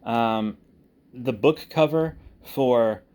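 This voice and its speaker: A man's voice: medium pitch, drawn-out words, darkish tone, clean tone. A man giving a casual, rambling update to viewers as an online creator, informal and often correcting himself as he thinks aloud. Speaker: online video creator and writer.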